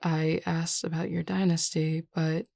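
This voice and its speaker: Woman acting a composed, low-voiced pack beta. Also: flat delivery, creaky, darkish tone, no echo, clean studio sound. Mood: frank, reassuring. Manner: measured, explanatory, composed. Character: confident, reassuring adult female werewolf pack beta. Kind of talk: scripted audio roleplay.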